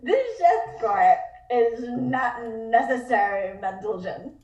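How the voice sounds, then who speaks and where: A woman's voice: fairly high pitch, lively intonation, slow pace, darkish tone, muffled recording. A woman voicing a wry, flirtatious, no-nonsense dame in a table read, recorded from afar in an echoey room. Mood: exasperated. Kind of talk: dramatic table read of a comic script. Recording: distant, echoey room sound.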